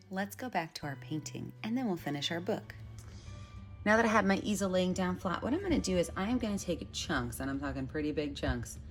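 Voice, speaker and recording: A woman art teacher hosting an instructional step-by-step tutorial, addressing viewers in a friendly, direct way. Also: low-pitched. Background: background music.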